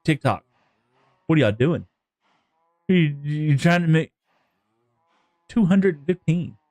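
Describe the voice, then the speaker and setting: A man's voice: a little high, lively intonation, slow pace, darkish tone, no echo. A man with a Texan accent chatting to his viewers on a live gaming stream, rambling and joking as he plays. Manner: rambling, jokey, talks to chat.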